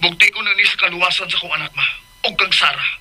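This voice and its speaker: A man's voice: high-pitched, fast talker, background noise, lightly acted. A man playing an anxious, protective young father in a radio drama, pleading urgently with a Filipino accent.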